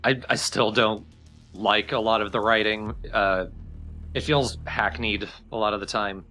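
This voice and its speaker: Guy w a lowish but bright crisp-edged voice, casual considered game chat. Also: fairly even intonation, measured pace, faint music behind. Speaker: let's play co-commentator.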